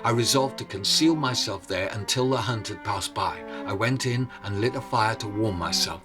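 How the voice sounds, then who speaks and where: A man telling a tale as a storyteller, in a measured, theatrical way with suspenseful pauses. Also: fairly low pitch. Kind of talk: dramatic oral storytelling over music. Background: instrumental music.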